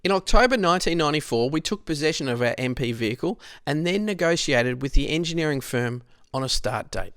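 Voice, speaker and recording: An Australian man reading a scripted historical narration in a measured, explanatory way. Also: fairly high pitch, animated, fairly bright tone, clean tone, no echo, studio quality.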